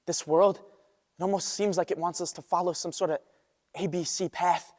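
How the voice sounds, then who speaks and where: A man's voice: sits high, fairly even intonation, moderate pace, a little mellow, natural voice. A teenage male student playing a restless, idealistic young man in an earnest, emphatic stage monologue. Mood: earnest, reflective. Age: teens. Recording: echoey hall with long reverb.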